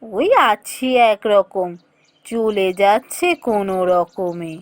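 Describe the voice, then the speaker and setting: Woman voicing a calm, low-pitched village mother. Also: slow pace, close to an everyday voice. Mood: weary. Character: calm, agreeable village mother of two grown daughters. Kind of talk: cartoon fairy tale dialogue.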